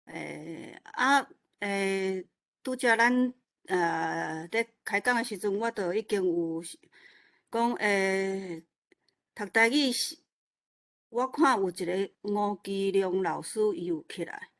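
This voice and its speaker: A woman's voice: medium pitch, slow pace, fairly bright tone. A woman with a Taiwanese accent speaking informally and modestly in an online meeting, rambling and repeating words as she searches for them. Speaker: new organiser of an online literature event. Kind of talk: informal unscripted self-introduction.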